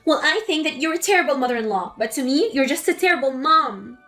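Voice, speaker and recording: A woman's voice: sits high, expressive, quick pace, bright, forceful tone, faint music behind. A woman voicing a hurt, indignant pregnant young wife in a scripted text-story drama. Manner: indignant, pleading.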